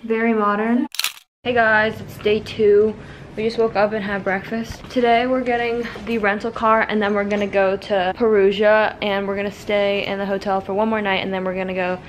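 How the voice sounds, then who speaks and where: A woman's voice: medium pitch, little pitch movement, normal speed. A teenage girl narrating a casual travel vlog to her handheld phone, upbeat and chatty. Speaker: vlogger. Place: airport and plane, indoors. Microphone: handheld phone at arm's length. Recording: noisy airport background.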